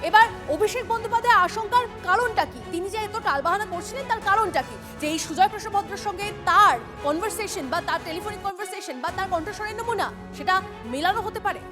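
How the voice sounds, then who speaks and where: A woman's voice: very high-pitched, expressive, quick pace. A woman with a West Bengal Bengali accent anchoring breaking news, urgent and insistent as she puts questions to reporters. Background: news music bed.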